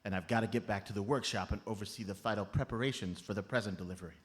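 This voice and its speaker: A man's voice: lowish voice, very fast, natural voice. A man playing a tiny, solemn elf foreman with a long-winded official manner in a live comedy radio play. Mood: pressured, serious. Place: live on stage at a comedy club.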